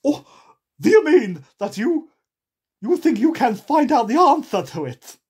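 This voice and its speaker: A woman voicing a blunt, eccentric, argumentative man in a storybook, loud and drawn-out. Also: high-pitched, very animated, husky, voice pushed up, exaggerated voice.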